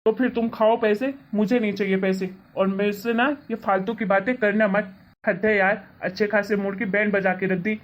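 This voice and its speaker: A woman's voice: mid-range voice, level tone, normal speed, lightly acted. Casting: woman as indignant young husband, exasperated and scolding, for a moral-story family quarrel. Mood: exasperated, angry. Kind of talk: acted dialogue in Hindi family story.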